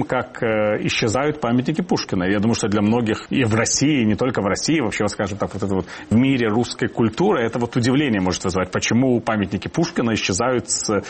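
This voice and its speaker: A man's voice: medium pitch, husky. A man hosting a current affairs interview in a studio, introducing the program and putting questions to his guests. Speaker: host.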